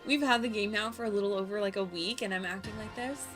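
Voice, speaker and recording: A woman's voice: mid-range voice, fast, fairly bright tone. A woman livestreaming a video game with casual commentary, in a playful, gushing and informal manner. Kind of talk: casual live gameplay commentary. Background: music.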